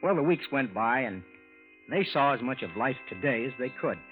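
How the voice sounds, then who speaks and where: Man playing good-natured ordinary husband, 1940s radio drama, folksy and hesitant, lively pitch, thin vintage broadcast. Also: normal speed, phone-quality sound, faint music behind, lightly acted. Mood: folksy recounting. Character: Frank, ordinary good-natured husband telling a strange tale. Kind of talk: 1940s radio acting, first-person narration.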